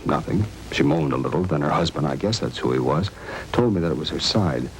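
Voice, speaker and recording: Low-voiced, shaken American doctor. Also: male voice, expressive, brisk, rough recording. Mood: uneasy recounting. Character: shaken, indignant male doctor, a robbery victim. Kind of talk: 1950s radio drama acting. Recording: old radio recording, faint noise.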